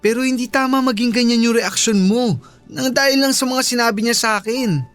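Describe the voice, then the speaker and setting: An actor playing an apologetic young boyfriend in a Filipino radio drama, placating and pleading with a Filipino accent. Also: male voice, very high-pitched, brisk, over music. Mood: placating, upset.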